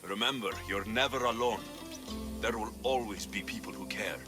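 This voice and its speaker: Man acting protective mercenary hero, measured, reassuring, lowish voice, video game cutscene. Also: over music, close to an everyday voice. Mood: gentle, somber. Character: steady, protective mercenary hero, sympathetic but tough.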